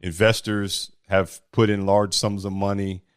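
A man with a big, deep voice, talking bluntly about running a startup in a casual podcast conversation. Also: level tone, unhurried, smooth, no echo, clean studio sound. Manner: blunt and forceful, with mild swearing. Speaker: startup founder.

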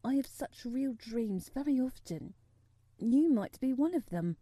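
A woman's voice: high-pitched, animated, unhurried, warm tone, dry recording. A woman voicing a sickly, anxious young boy in a storybook reading, plaintive and demanding. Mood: wary.

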